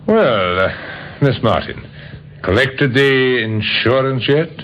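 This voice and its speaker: A dry, insinuating police inspector from a vintage radio mystery. His medium-pitched voice moves widely as he probes and hesitates. The old recording carries a faint hiss. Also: animated, fairly bright tone, highs cut off. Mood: sardonic, pressing. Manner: deliberate, hesitant questioning with pointed insinuation. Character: probing, dryly sardonic male police inspector. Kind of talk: old-time radio drama acting.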